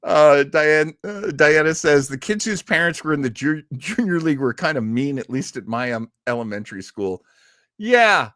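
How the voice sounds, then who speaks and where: Animated, chatty man hosting a show. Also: fairly high pitch, moderate pace, no room sound, clean studio sound. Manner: chatty, wry asides. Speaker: host. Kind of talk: casual live-stream talk on old radio comedy.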